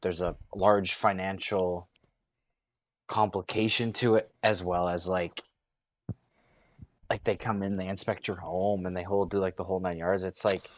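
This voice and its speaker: Guest, man from Canada, 30s, very deep voice, dry mic, hesitant delivery. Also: flat delivery, no room sound. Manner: halting, hesitant, many restarts. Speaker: podcast guest. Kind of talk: casual live-stream chat.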